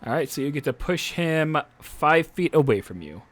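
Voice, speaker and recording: A man acting as game master, narrating a tabletop game off the cuff and pausing to check rules aloud. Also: a little high, sing-song.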